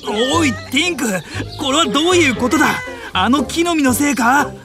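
Haughty, irritable young older brother, very animated. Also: male voice, very high-pitched, moderate pace. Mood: angry, flustered. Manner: indignant, haughty, blunt. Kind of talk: animated Japanese audio drama acting. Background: music and animal sounds.

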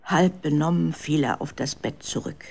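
A woman narrating a children's story in a dramatic, expressive scripted style. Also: sits low, normal speed, gravelly, slightly rough.

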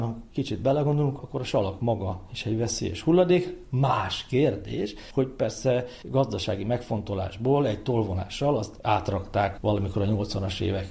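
A man speaks as an interviewed expert, explaining spontaneously. His voice is mellow and gravelly, set on the low side.

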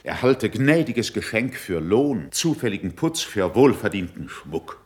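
A shrewd, condescending middle-aged statesman in a classical radio drama. His voice is low, with a widely swinging melody. He delivers his verse in a measured, dryly ironic and superior manner. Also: big pitch swings, darkish tone, husky, full character voice. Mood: sarcastic, superior. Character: cool, shrewd, condescending older male statesman. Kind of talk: classical German verse stage acting for radio play.

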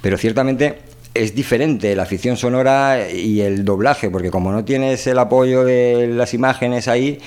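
A man's voice: mid-range voice, fairly even intonation, moderate pace, darkish tone, smooth. A man with a Castilian Spanish accent hosting a radio show in casual, slangy, rambling chat. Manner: slangy, rambling, unfiltered delivery.

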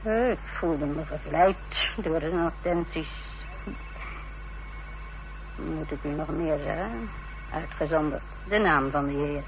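Haughty middle-aged mother, slow and deliberate. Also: low voice. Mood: confiding, proud. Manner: haughty, theatrical, deliberate delivery. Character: proud, defiant middle-aged mother with a scandalous past. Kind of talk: radio drama acting. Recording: dull, noisy old radio recording.

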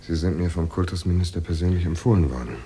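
An actor in a German radio drama playing a male Soviet official who cajoles and pressures in a hushed, persuasive manner. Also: low voice, fast talker, slight background noise, lightly acted. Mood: insinuating, pressing. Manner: hushed, persuasive, veiled threats.

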